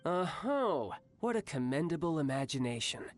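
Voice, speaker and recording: A man's voice: on the high side, very animated, normal speed, faint music behind. A man voicing a cocky young warrior in a video game, with a polished, theatrical manner. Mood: condescending. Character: young cocky, smooth-talking male warrior, playfully theatrical.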